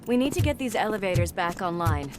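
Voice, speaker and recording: An actress playing a practical, loyal female police officer who talks in a brisk, friendly, matter-of-fact way. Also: medium pitch, very animated, over music, lightly acted. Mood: steady.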